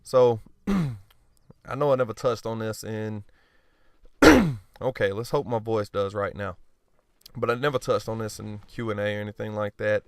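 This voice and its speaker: A man's voice: on the low side, measured pace, long pauses, no room sound, clean studio sound. Blunt, profane man talking over gameplay. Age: adult. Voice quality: smooth voice. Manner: blunt, profane, rambling and self-joking; clears his throat often. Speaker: gaming commentator. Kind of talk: casual gameplay commentary.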